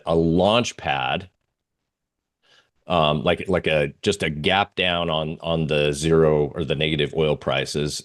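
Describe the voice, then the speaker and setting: Low-voiced man talking through charts. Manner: halting, searching for words. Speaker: market analyst guest. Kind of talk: informal live chart commentary.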